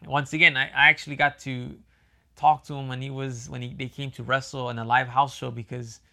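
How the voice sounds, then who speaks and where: A man talking casually and at length as a wrestling fan, with a loud booming voice, full of restarts and fillers. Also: level tone, brisk, creaky. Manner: rambling, repeats himself, many fillers and restarts. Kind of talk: casual monologue.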